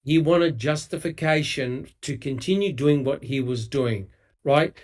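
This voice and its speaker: A man's voice: medium pitch, unhurried, a little mellow. A man co-hosting a podcast, talking heatedly and emphatically, swearing and mocking people with quoted lines. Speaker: podcast co-host. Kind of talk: casual true-crime discussion.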